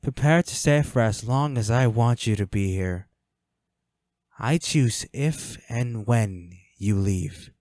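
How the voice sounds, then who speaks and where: A man's voice: medium pitch, takes it slowly, a little mellow, no room sound, natural voice. A man playing a flirty, smug villain henchman in a roleplay audio drama, taunting a captive in a teasing, coolly controlling manner. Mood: possessive, smug.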